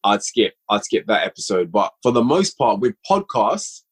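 A man's voice: on the low side, very animated, moderate pace, slightly rough. A man talking as a podcast guest who creates audio dramas, chatting casually and rambling with plenty of fillers. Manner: rambling, peppered with "like". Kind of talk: casual chat.

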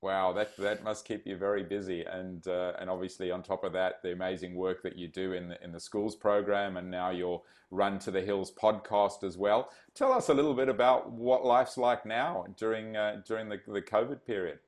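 A man's voice: sits low, steady tone, moderate pace, hardly any pauses. A man from Zimbabwe hosting a podcast interview, enthusiastic and chatty. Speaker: podcast host. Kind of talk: casual interview chat.

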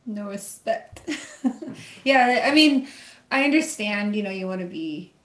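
A woman co-hosting a casual talk show, speaking in a lively, animated way with frequent giggles. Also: medium pitch, a little slow, roomy sound. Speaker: podcast co-host. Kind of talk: casual chat.

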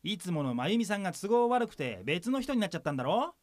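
A man's voice: high-pitched, sing-song, fast talker, dry recording. A man playing a practical younger brother in an audio drama, scolding and coaxing but caring.

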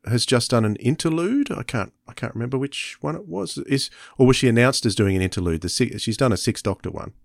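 A man's voice: mid-range voice, lively intonation, fairly quick, gravelly, hardly any pauses, no echo, clean studio sound. A man co-hosting a podcast, chatting casually. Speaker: podcast co-host.

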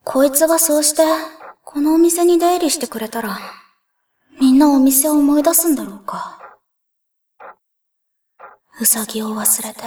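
A woman's voice: sits high, dark tone, no room sound, studio quality. Woman voicing a bitter whispering rabbit spirit. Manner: cold, breathy half-whispers. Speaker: rabbit character (ウサギ). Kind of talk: anime-style radio drama acting.

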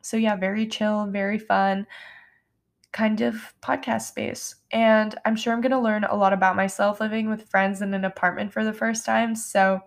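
A woman's voice: medium pitch, level tone, normal speed, vocal fry. A woman in her twenties from New York, hosting her own podcast late at night in a chatty, rambling way with many "like" fillers and no regional accent. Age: 20s. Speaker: podcast host. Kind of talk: casual solo podcast chat. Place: home at night.

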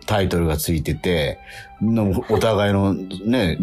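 A man co-hosting a radio show in casual chat, teasing and mock-grumbling in blunt, rough speech. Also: low-pitched, slow pace, a little mellow, soft background music.

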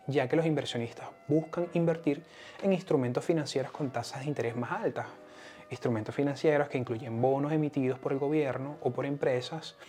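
A man's voice: medium pitch, a little mellow. A man with a Venezuelan accent hosting an explanatory podcast in a partly scripted monologue. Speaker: podcast host.

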